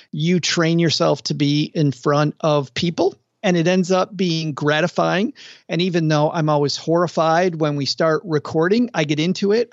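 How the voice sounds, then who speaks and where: Gravelly-voiced man co-hosting a podcast. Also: a little high, no echo. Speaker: podcast co-host. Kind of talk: casual conversational chat. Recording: dull, lo-fi dry sound.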